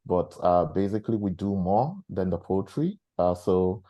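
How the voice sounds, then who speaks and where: A man from Nigeria talks on an online panel as a company founder. His voice is very deep and mellow, and he pauses often with hesitant uhs. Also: lively intonation. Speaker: startup CEO. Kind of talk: panel discussion.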